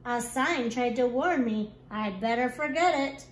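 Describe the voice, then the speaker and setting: A woman reads a rhyming children's book aloud like a kindergarten teacher, expressive and deliberate. Her pace is slow and her voice is high. The recording has clear noise behind her. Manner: expressive, deliberate storytelling delivery. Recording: echoey room with clear background noise.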